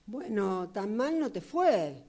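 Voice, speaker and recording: A woman's voice: medium pitch, big pitch swings, slow pace, mellow, heavily acted. A woman acting in a radio drama as an indulgent, evasive fortune-teller with a Rioplatense Argentine accent, agreeing soothingly and repeating her words. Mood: placating. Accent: Rioplatense Argentine Spanish.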